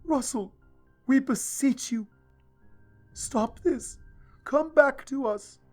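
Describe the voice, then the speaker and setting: A man's voice: extremely high pitch, takes it slowly, voice pushed up, full character voice. A man voicing a regal female pony princess in a storybook reading, pleading in a formal way. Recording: soft music underneath.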